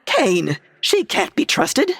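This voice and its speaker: An actress playing a suspicious, nervous female henchwoman in a comedy audio drama, with quick, anxious warnings. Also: medium pitch, wildly swooping pitch, fairly bright tone, husky, heavily acted. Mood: wary.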